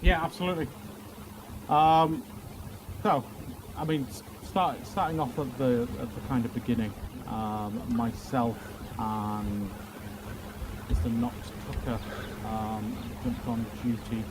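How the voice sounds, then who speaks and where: A man's voice: medium pitch, fairly even intonation, slow pace, background noise. Hesitant man testifying as commissioner. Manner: hesitant, many fillers. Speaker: witness playing a police commissioner.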